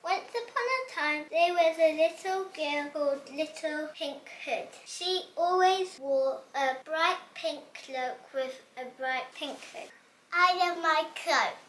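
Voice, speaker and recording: A woman's voice: high-pitched, takes it slowly, few pauses. A female reader giving scripted storybook narration for children, recorded at home. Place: at home, indoors. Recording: echoey room, faint background noise.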